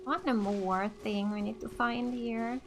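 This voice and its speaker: A woman's voice: on the high side, steady tone, takes it slowly, background noise. A woman from Finland hosting a casual let's play, thinking aloud and reading game text without hurry. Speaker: gaming video host.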